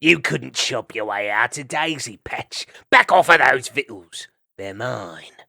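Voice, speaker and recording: A man's voice: medium pitch, lively intonation, unhurried, creaky, bright, forceful tone, no room sound, studio quality, heavily acted. A man voicing a greedy, brutal male ferret in an animal fantasy story. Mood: bullying.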